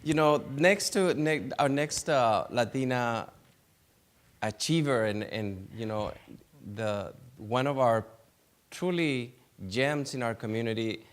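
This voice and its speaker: A man moderating a conference panel, introducing the next speaker with many restarts. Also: medium pitch. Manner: halting, many restarts and light laughs. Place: conference hall.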